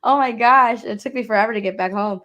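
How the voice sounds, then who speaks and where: A woman from Texas, a guest on a painting stream, chatting in a relaxed, rambling way, her voice still slightly hoarse. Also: fairly high pitch, quick pace. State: slightly hoarse after illness. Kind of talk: casual chat.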